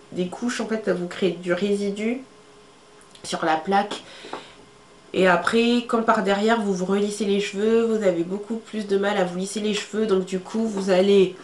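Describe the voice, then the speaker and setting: A young woman vlogger, congested from a cold, chatting casually to camera with many fillers as she shows her hair tools. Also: medium pitch. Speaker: beauty vlogger. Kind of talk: casual how-to talk to camera. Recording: echoey room with faint ambient noise.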